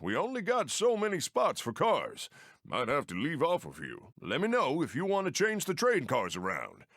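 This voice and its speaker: An actor playing a friendly Old West steam train engineer in a video game, with an American accent and folksy frontier phrasing. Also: male voice, a little high, fairly bright tone, no echo, studio quality, heavily acted. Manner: folksy, colloquial drawl of the frontier. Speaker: mission-giver game character. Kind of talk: animated video game voice acting.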